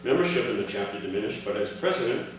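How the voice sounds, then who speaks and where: An older man reading a prepared speech aloud to a gathering in measured, separate phrases. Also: lowish voice, expressive. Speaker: speaker reading his father's account at an award ceremony. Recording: echoey, noisy hall recording from far off.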